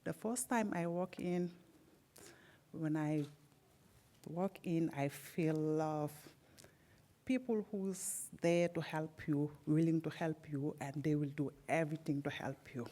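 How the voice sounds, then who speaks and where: A woman's voice: low voice, slow pace, dark tone. A woman from Senegal, whose first language is Wolof, speaking in simple non-native English as the student speaker at a graduation, heartfelt and a little nervous. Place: event hall at a library graduation.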